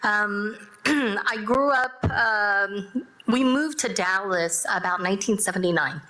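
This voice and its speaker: A middle-aged woman whose first language is Korean, introducing herself on a panel in deliberate, halting phrases. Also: mid-range voice, sharp tone. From born in South Korea, raised in the US. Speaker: judge, panelist. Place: panel event room with audible echo. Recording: roomy live event sound.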